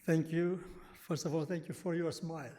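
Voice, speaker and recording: A man's voice: on the high side, level tone, moderate pace, mellow, a little hiss or hum. A man with a Romanian accent giving an earnest, self-deprecating public comment at a county meeting.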